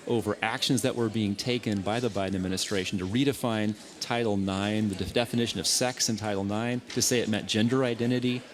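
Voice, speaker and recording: Measured, articulate man on a radio show. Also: on the low side. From Texas. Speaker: radio show guest, legal advocate. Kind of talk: interview answers.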